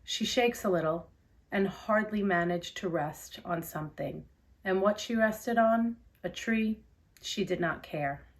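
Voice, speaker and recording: A woman reads verse aloud quietly and earnestly. She takes the lines at an unhurried pace, letting them settle. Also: medium pitch. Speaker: granddaughter of the poet, reading a poem. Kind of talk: slow poetry reading.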